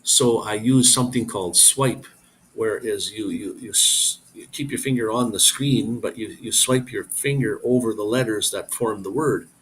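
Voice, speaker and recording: A man's voice: lowish voice, normal speed, fairly bright tone, a little hiss or hum, poor audio. A middle-aged man hosting a casual live stream, rambling as he reads viewer comments aloud and answers them.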